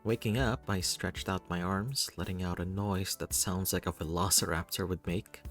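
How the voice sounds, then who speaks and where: Male narrator, low register, expressive first-person story reading with theatrical flair, faint music underneath. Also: normal speed. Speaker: reader of a visual novel.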